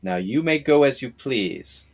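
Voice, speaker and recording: A man reading as a stern, gravelly soldier father. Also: medium pitch, expressive, unhurried, voice pushed up. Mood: firm, conciliatory. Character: stern Korean archer officer, wronged father reasoning with foe.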